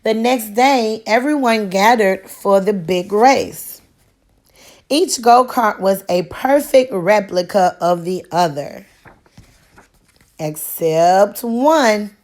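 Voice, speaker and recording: A woman reading a children's picture book aloud with deliberate, expressive storytelling, like a teacher to a class. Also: mid-range voice.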